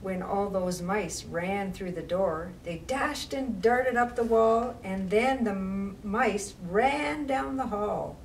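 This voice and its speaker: A woman reading to young children as a library storytime reader, with measured, expressive phrasing and casual asides. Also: mid-range voice. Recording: distant mic with faint background noise.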